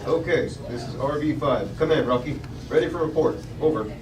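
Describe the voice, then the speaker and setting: A man's voice: medium pitch, fairly quick, natural voice. A man reading the part of a polite male space station radio operator, clipped and procedural. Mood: businesslike. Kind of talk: table read of a sci-fi script. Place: restaurant dining room table. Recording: distant, echoey, noisy restaurant.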